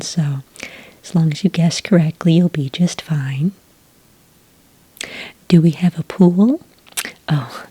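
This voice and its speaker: A woman playing a friendly, helpful witch clerk at a magic academy in a soft-spoken, unhurried, whispery ASMR roleplay. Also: deep voice, husky, dry recording, full character voice. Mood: mildly amused.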